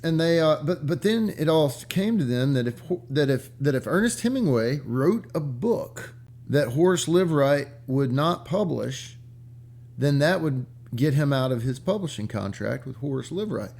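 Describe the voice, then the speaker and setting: A man's voice: on the high side, animated, moderate pace, vocal fry, a little mellow. A man casually rambling through stories like a vlog host, irreverent and slangy with some swearing, often stopping to repeat his words. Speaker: vlog host and book collector. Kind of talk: casual rambling storytelling about rare books.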